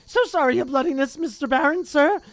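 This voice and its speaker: A reader voicing a mischievous male poltergeist with a squeaky, sing-song voice. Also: a little fast. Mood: groveling.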